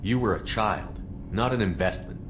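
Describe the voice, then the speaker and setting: An actor playing a calm, principled military commander in a video game, speaking plainly and directly. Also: male voice, on the low side, normal speed, close to an everyday voice. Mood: probing. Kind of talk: video game character dialogue. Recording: noticeable background ambient noise.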